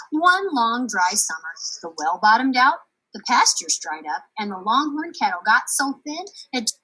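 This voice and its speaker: A woman's voice: fairly high pitch, pushed and bright, slightly rough, poor audio. A woman reading a children's story aloud expressively as a storyteller.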